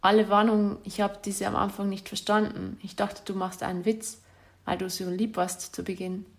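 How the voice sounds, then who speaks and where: A woman's voice: medium pitch, level tone, normal speed. A woman reading out a letter she wrote to her former partner, in a bitter, accusatory and insulting way. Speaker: spurned ex-partner.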